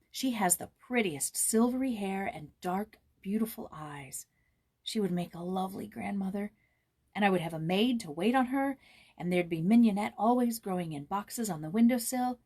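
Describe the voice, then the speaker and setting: A woman voicing an eager, tender-hearted, excitable young girl in a storybook, with an impulsive, gushing manner. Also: mid-range voice, normal speed, breathy edge. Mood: wistful, eager.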